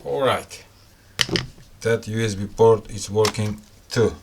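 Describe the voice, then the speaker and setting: A man's voice: lowish voice, takes it slowly, background noise. A man hosting a casual hands-on product review, speaking English with non-native phrasing. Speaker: host of a gadget review channel. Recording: loud music behind the voice.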